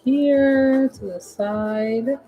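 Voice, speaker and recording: A woman with a hoarse, husky voice giving a casual, chatty step-by-step painting tutorial at home, repeating her instructions in informal language. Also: high voice, extremely slow, muffled recording.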